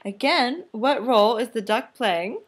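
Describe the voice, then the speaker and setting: A woman's voice: on the high side, expressive, measured pace, slight background noise. A woman teacher reading a children's picture book aloud, expressively and with careful, instructive phrasing. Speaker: teacher demonstrating a read-aloud.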